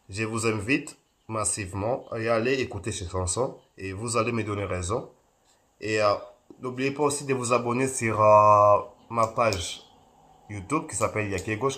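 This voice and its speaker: A young man from Kinshasa talks casually to the camera about his songs, his voice sitting fairly low. He is friendly and pauses on small fillers as he goes. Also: a bit bright. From Congo, Kinshasa. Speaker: singer promoting his music. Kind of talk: casual self-introduction to camera.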